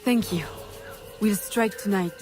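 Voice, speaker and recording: An actress playing a determined, composed young assassin in a video game. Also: female voice, medium pitch, slow, over music, lightly acted. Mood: resolute. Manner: cool, businesslike, resolute. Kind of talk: dramatic video game acting.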